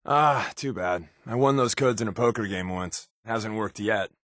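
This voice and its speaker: An actor playing a sardonic, wisecracking undercover resistance agent in a video game, with a casual, slangy, quipping delivery. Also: male voice, lowish voice, normal speed, a bit bright, no room sound, studio quality, close to an everyday voice. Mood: wry, casual. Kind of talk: video game character voice lines.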